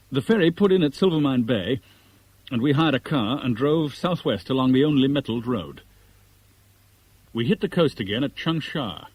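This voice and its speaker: A man's voice: medium pitch, a little mellow, husky, poor audio. An actor playing a brooding, reluctant man caught in intrigue in a 1970s radio drama, speaking in a weary, dry, troubled way. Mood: rueful narration. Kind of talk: 1970s radio drama acting, with first-person narration. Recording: hissy 1970s radio broadcast recording.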